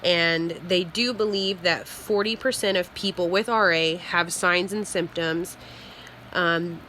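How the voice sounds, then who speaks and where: A young woman with a cold, sniffly and clearing her throat, giving an informal class presentation with many ums. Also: lowish voice, fairly even intonation, takes it slowly, pushed and bright, slight background noise, low-quality recording. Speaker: student presenter.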